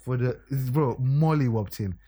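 A man in casual podcast banter, emphatic and animated, often tagging lines with "yeah?". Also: medium pitch, a little slow, slight background noise. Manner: animated, emphatic, tag questions. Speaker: podcast co-host.

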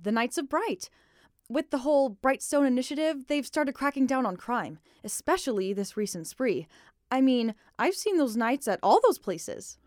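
A woman's voice: high-pitched, animated, moderate pace, a little mellow, dry recording, studio quality. A woman playing a practical, teasing detective's sidekick in an audio drama, plain-spoken and a little exasperated. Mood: puzzling.

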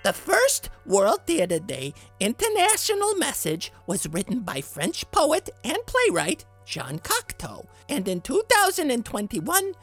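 A man's voice: very high-pitched, wildly swooping pitch, measured pace, few pauses, fairly bright tone, faint music behind, exaggerated voice. A man playing an earnest, theatrical middle-aged dad presenting a podcast segment in a dramatic, effusive way.

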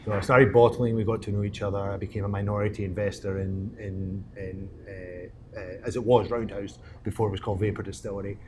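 A middle-aged man from Scotland speaks in a low voice with a Scottish accent. He tells his story conversationally as a guest, with faint room noise behind him. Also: flat delivery, brisk, hardly any pauses, a little mellow. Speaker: distillery owner, interview guest.

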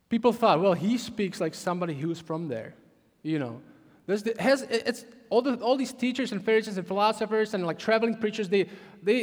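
A man from the Czech Republic with a Czech accent, preaching a sermon as a pastor, animated and emphatic with frequent restarts. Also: high-pitched, big-room sound.

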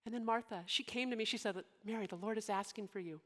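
A young woman playing Mary in a dramatic monologue, speaking emotionally and haltingly, often sinking into soft whispers. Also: medium pitch, close to an everyday voice. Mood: overwhelmed, reverent. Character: devoted young woman, biblical Mary, deeply moved.

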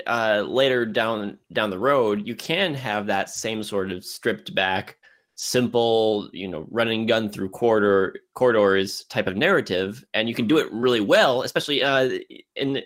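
A man hosting a casual film discussion, speaking haltingly and often repeating words as he works toward his point. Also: medium pitch, a bit bright. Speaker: film podcast host.

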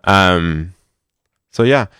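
A young man speaks in a low, smooth, resonant voice as an interview guest. He answers in a halting way, restarting words and stuttering now and then. The sound is close and dry. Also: expressive, a bit bright, no room sound.

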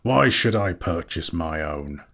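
A man voicing a proud, bitter dwarf king in a dramatic storybook reading, gruff and imperious, declaiming slowly and scornfully. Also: low-pitched, lively intonation, fairly bright tone, exaggerated voice. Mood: suspicious, haughty.

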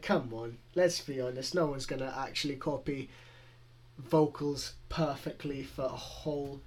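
A young man with a Greek accent, co-hosting a music podcast in casual, rambling chat. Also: on the high side. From Greece. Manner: chatty, rambling, frequent laughs. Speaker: podcast co-host.

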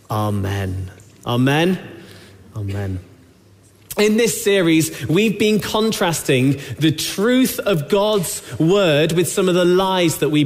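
A man preaching a sermon to his church, with a sore throat, speaking in an earnest, measured way that drops low on key lines. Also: big-room sound. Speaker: pastor. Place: church hall.

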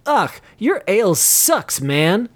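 A man voicing a gruff female sword-carrying adventurer who talks curtly with a growling rasp. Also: medium pitch, expressive, normal speed, darkish tone, no echo, lightly acted.

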